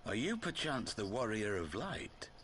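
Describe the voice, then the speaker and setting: Defiant low-voiced rebel fighter. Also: male voice, big pitch swings, a little slow, a bit bright, a little hiss or hum. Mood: solemn, grateful. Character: gruff, defiant male rebel resistance fighter, solemn and fervent. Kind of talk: video game cutscene voice acting.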